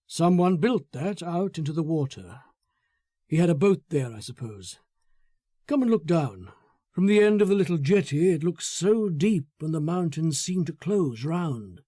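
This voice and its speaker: A man's voice: a little high, very animated, unhurried, mellow, no echo, studio quality. A man reading a story, playing a brooding, coldly possessive man with an intense, musing manner. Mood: coaxing.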